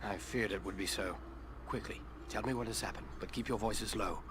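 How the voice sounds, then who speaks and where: An actor playing a wary, commanding ranger leader in a fantasy video game, speaking gravely and formally. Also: male voice, fairly low pitch, fairly quick, noisy background, lightly acted. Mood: grave, urgent.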